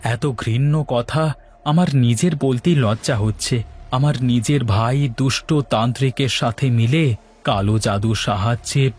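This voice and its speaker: A man voicing a gentle, wronged young landlord's son who tells his tale earnestly in a cartoon. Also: medium pitch, slightly rough. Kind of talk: Bengali cartoon storytelling dialogue.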